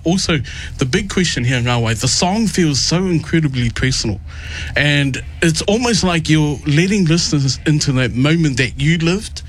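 A man's voice: fairly high pitch, slight background noise. A man hosting a radio show, interviewing musical guests in an effusive, rambling way.

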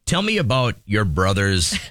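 A man's voice: on the low side, big pitch swings, moderate pace, fairly bright tone, no room sound, clean studio sound, soft background music. A man co-hosting a morning radio show, joking around in a wry, laid-back way. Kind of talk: casual on-air banter. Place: radio studio.

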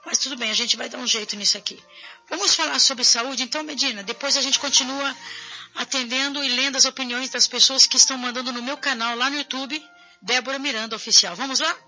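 Hoarse, bright-voiced Brazilian woman host. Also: high voice, fairly even intonation, brisk, highs cut off, soft background music. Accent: Brazilian Portuguese. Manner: chatty, addresses viewers directly. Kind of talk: casual talk show, reading viewer messages.

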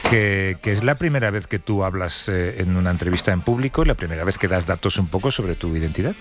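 A man's voice: low voice, expressive, fast. A man with a Spain Spanish accent hosting a radio show, introducing and interviewing a guest conversationally.

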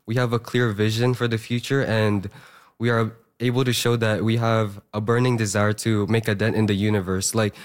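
A hoarse young man, a fresh high school graduate, talking earnestly with many fillers as a radio interview guest. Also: little pitch movement, moderate pace. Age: young adult.

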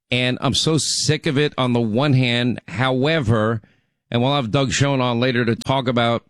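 A man's voice: mid-range voice, a bit bright, no echo. A middle-aged man hosting a talk radio show in a chatty, rambling way, his voice still recovering from laryngitis. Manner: chatty, rambling, frequent restarts. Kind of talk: casual talk radio monologue.

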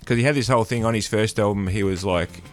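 A man guesting on a radio show, rambling with many fillers and restarts, trailing off quietly. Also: fairly low pitch, quick pace, soft background music. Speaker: radio guest, record collector. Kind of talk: casual radio chat.

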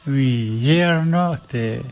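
A man's voice: medium pitch, extremely slow, a little mellow, faint music behind. An older Argentine man visiting the radio studio, hesitantly sending greetings to his family. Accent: Argentine Spanish. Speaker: studio guest.

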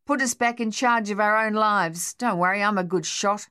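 A woman's voice: mid-range voice, moderate pace, bright, forceful tone, clear, resonant tone, pitched above the performer's own voice, lightly acted. A woman voicing a desperate, shell-shocked young male Australian soldier in an audiobook, talking in a shaky, pleading, rambling way with an Australian accent.

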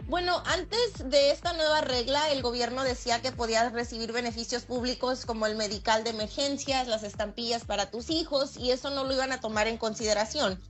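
Female legal expert for radio interview, Mexican accent, higher-pitched, plain-spoken explanatory delivery. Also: a little fast, hardly any pauses. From Michoacán, Mexico. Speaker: lawyer, expert guest.

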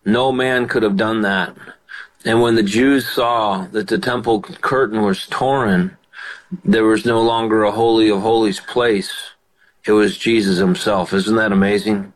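Low-voiced older pastor hosting radio. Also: male voice, steady tone, unhurried, rough recording. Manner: warm affirmations, fervent praying. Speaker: radio show host and pastor. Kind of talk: call-in radio with prayer.

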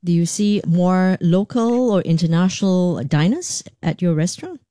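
A woman's voice: fairly low pitch, animated, normal speed, mellow, dry recording. A woman interviewer putting questions in a conversational way.

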